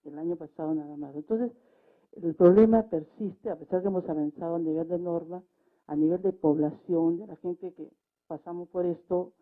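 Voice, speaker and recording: A woman giving her opinion as a debate panelist, unscripted and deliberate, phrase by phrase. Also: fairly low pitch. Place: public debate event. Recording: muffled, lo-fi event recording.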